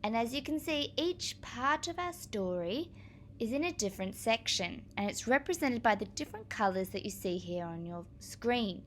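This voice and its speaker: A woman teacher reading aloud to young children, explaining as she goes in a clear, expressive manner. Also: a little high, normal speed, a little hiss or hum.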